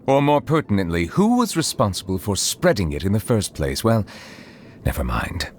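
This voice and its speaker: A man playing a reserved, eloquent chief judge in a video game, speaking in a formal and measured way. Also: sits low. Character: formal, reserved male chief judge, secretly a water dragon. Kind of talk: scripted game character voice lines. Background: music.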